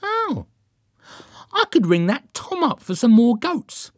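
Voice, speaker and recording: A man voicing a chirpy, eager and rather dim male cultist sidekick in a comic audiobook, bright and sing-song in manner. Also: high-pitched, wildly swooping pitch, moderate pace, no echo, clean studio sound, pitched above the performer's own voice.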